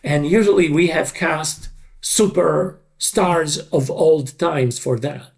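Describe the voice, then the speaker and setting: Casting: man, slightly high creaky voice, slow deliberate interview delivery. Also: a little mellow. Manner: deliberate, halting, emphatic short phrases. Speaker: dubbing creative director. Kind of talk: reflective interview talk.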